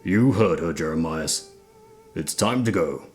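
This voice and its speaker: An actor playing an old, kindly, knowledgeable monster expert in an audio drama, speaking in a measured, formal way. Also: male voice, low-pitched, animated, soft background music. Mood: brisk. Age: older. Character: old pipe-smoking male vampire expert, measured, kindly. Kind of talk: audio drama character acting.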